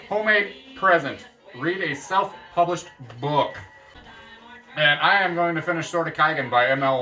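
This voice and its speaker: Bright-voiced man rambling about books. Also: medium pitch, far from the mic, rough recording. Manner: jokey, meandering, self-correcting. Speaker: book vlogger. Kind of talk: casual rambling book chat.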